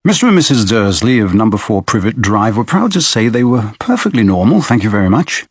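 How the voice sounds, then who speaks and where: A man playing a wry, prim narrator reading a children's audiobook in a husky voice. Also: on the low side, very animated, brisk, dry recording, clean studio sound.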